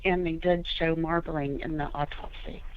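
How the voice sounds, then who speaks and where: A woman's voice: low-pitched, fairly even intonation, moderate pace. A woman in a conference call discussion, thinking aloud in a tentative way. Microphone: phone. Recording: tinny phone conference line.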